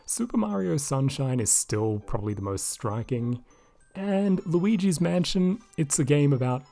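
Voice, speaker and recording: A man with a slightly raspy voice, casually commenting over gameplay into a desk microphone and thinking aloud with lots of asides. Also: medium pitch, warm tone. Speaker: video game commentator. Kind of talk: casual let's-play commentary.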